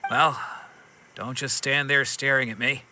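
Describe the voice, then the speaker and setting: A man playing a stern but forgiving wandering swordsman in a video game, speaking in a measured, firm and frank way. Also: medium pitch, fairly even intonation, bright, forceful tone. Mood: dry, resolved. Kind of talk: dramatic video game acting.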